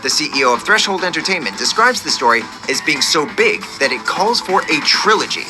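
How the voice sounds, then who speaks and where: Over prominent music, a man narrates a scripted countdown in a polished, wry way. His pitch is a little high and moves in a lively, animated range. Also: a little fast. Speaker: video narrator. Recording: loud music under the voice.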